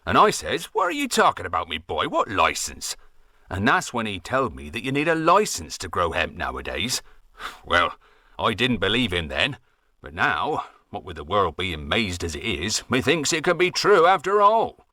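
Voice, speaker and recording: A man's voice: on the low side, huge pitch swings, normal speed, pushed and bright, no room sound, studio quality, heavily acted. A man voicing an old West Country farmer who rambles on in folksy dialect with a West Country accent, grumbling at red tape. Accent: West Country English. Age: older.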